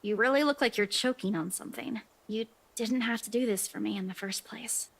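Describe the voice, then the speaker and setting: A woman's voice: fairly high pitch, brisk, vocal fry, fairly bright tone, slightly rough, full character voice. An actress playing a concerned young woman in a visual novel, questioning, caring and plain-spoken. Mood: worried, caring. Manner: questioning, solicitous.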